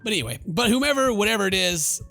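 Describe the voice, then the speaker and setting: A male gaming YouTuber with a bright, slightly high voice over faint music. He reads game text aloud and breaks into joking, theatrical commentary. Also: animated, fast, vocal fry.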